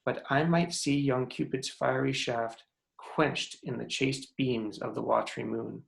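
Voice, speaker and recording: A man's voice: mid-range voice, creaky. A man playing a jealous, commanding fairy king in a theatrical, declamatory reading of Shakespearean verse. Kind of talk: Shakespearean verse table read. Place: remote video call reading.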